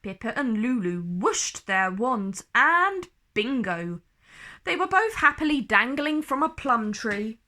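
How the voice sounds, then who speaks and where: Female children's storyteller: slow, careful read-aloud pacing, highly animated, theatrical.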